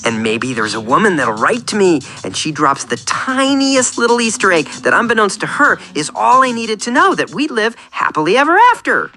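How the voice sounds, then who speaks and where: A man's voice: high voice, very animated, moderate pace, few pauses, sharp tone, full character voice. A man playing an earnest, lonely, oversharing oddball client in a radio comedy, rambling in odd detail. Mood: earnest, dreamy. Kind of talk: scripted radio comedy monologue.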